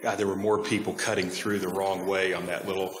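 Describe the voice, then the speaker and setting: A man's voice: low voice, little pitch movement, a little fast. A man moderating a staff forum, casual and joking, rambling through his points in a meeting room. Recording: very echoey hall with noise.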